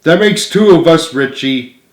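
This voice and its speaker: A man's voice: fairly high pitch, animated, unhurried, pitched above the performer's own voice. A man voicing a grateful, once-timid male teammate in a storybook, speaking earnestly and emphatically. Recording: roomy, echoing room sound.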